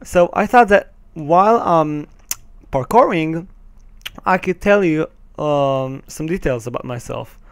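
Slow-talking Israeli teen with a smooth, dry voice. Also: male voice, a little high, animated, dry recording. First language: Hebrew. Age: teens. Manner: hesitant, many ums, nervous laughs, swears when the game goes wrong. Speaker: gaming channel host. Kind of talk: casual gameplay commentary. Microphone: headset.

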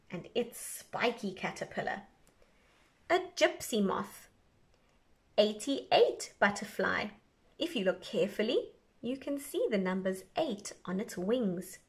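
A woman teacher reading an educational book aloud for young children in a measured, instructional way, enunciating carefully. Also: medium pitch.

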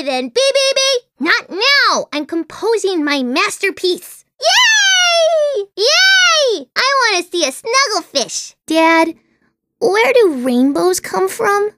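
Very high-pitched, imaginative little girl. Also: sharp tone, no room sound, studio quality, full character voice. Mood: playful, whimsical, then excited, cheerful, then curious, wondering. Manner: whimsical, earnest, childlike wondering. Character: imaginative, chatty little girl, dreamy about unicorns and princesses. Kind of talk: animated video game character voice acting.

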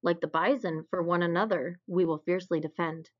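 A woman's voice: lowish voice, steady tone, brisk, lightly acted. A woman voicing a fierce, loyal coyote in a children's storybook. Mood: proud, loyal. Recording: dull, lo-fi recording.

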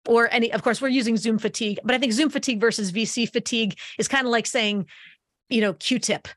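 A woman in her forties hosting a podcast interview, chatty and lively, speaking into a boom mic while wearing headphones. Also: fast talker, no echo. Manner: energetic, talks with her hands, restarts phrases. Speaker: podcast host. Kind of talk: conversational interview. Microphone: boom mic on arm, wearing headphones.

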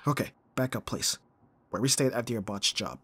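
A man playing a gruff but tender bodyguard in an ASMR roleplay, speaking in a husky, breathy, halting way with guarded emotion. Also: mid-range voice, a bit bright, no room sound. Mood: urgent reassurance.

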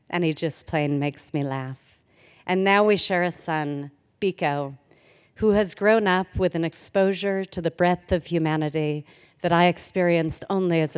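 Woman, 40s, commencement speaker at podium mic; smooth resonant voice, earnest with dry humor, long hall echo. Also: on the low side, darkish tone. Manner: earnest, measured, with light humor. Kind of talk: formal commencement speech. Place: large hall, at a podium on stage. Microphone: podium microphone. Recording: reverberant auditorium sound.

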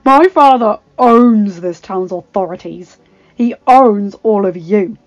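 Woman doing a slow, rough, menacing young brute. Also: medium pitch, no echo, lightly acted, poor audio. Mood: mocking, threatening. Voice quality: rough, rasping. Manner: slow, drawn-out, taunting. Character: menacing, huge twenty-year-old man, smug village bully.

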